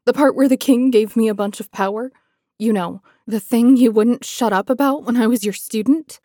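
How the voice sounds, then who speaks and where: A woman's voice: mid-range voice, a little slow, mellow, husky, no echo, clean studio sound. A woman voicing a proud, wounded, furious woman commander in a fantasy audiobook, with a biting, defiant delivery. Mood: snappish. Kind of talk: dramatic fantasy audiobook acting.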